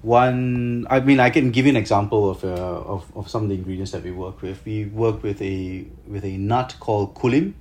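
Male chef as interview guest, Malaysian accent, lowish pitch, explanatory and somewhat halting delivery. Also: a little mellow. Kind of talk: conversational interview answers.

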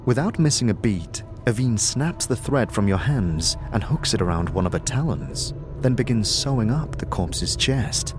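A man's voice: medium pitch, animated, moderate pace, few pauses. A man narrating a scripted fantasy audio drama in the manner of a storyteller. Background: music.

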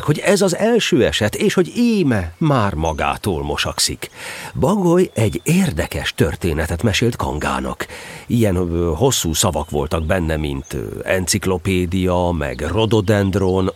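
A man narrating a children's story as an expressive, scripted audio drama narrator. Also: sits low, breathy edge.

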